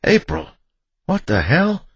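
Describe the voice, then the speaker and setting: A frightened young mother in a dramatic audiobook, acted out by a man. The voice is pushed high and shrill, strained and husky at the edges. The manner is panicked and defensive, in a dry, close recording. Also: huge pitch swings, slow, dry recording, dull audio, heavily acted. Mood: terrified, shrieking. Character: frightened, frantic young mother shrieking at gunpoint.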